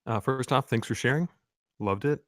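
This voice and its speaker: A man's voice: medium pitch, normal speed, no echo, studio quality. A man giving casual feedback on a script in brief, clipped phrases. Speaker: table read participant giving script feedback.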